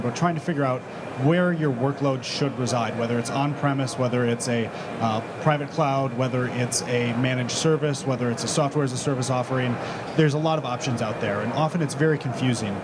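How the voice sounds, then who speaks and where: A man's voice: mid-range voice, noisy background. A man on a conference panel, explaining a decision framework fluently and at length. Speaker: author on a panel. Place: large conference stage.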